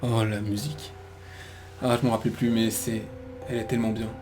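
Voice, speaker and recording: A man's voice: lowish voice, flat delivery, a little fast, a little mellow, soft background music. A man hosting a gaming video with casual let's play commentary, chatty and hesitant as he thinks aloud.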